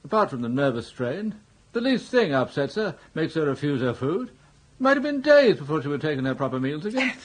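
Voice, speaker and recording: A vague elderly aristocrat with an upper-class English accent and a high voice for a man. He is fussy and easily indignant, babbling on in the manner of radio comedy drama. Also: very animated, a little fast. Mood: fussy indignation. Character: dithery, indignant elderly English earl devoted to his pig.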